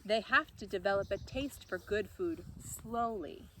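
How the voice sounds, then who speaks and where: A woman plays a storybook ram doctor. He is calm and reasonable, giving measured reassurance, with clear noise in the recording. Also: medium pitch. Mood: calm, advising.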